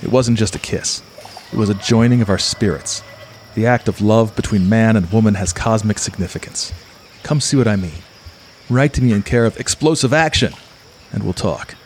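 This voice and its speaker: A man's voice: on the low side, moderate pace, a little hiss or hum, lightly acted. A man playing a hard-boiled, macho mercenary who narrates in tough-guy slang like a pulp radio play. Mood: earnest. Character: hard-boiled, swaggering male mercenary turned cosmic-love believer.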